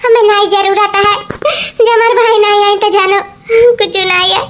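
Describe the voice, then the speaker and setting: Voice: extremely high pitch, little pitch movement, normal speed, noisy background. A performer voicing a tearful young married woman from an Awadhi cartoon comedy who misses her brother, whining and complaining at length. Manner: rambling, self-pitying complaints.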